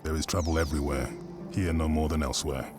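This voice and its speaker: An actor playing a grave, stern elder guardian in a video game cutscene, speaking solemnly. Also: male voice, rumbling low, fairly even intonation, fast, over music.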